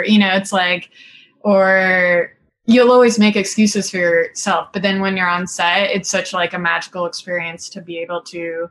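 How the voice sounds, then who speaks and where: A woman's voice: fairly low pitch, fairly bright tone, a little hiss or hum. A woman in her thirties from Singapore, a film director chatting casually as an interview guest, talking in long runs packed with "like" and "you know" and laughing easily.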